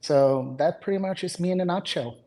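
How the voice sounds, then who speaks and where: A man from Puerto Rico, a physician, answering interview questions in a relaxed way with dry humor. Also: high voice, a little fast. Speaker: physician, guest.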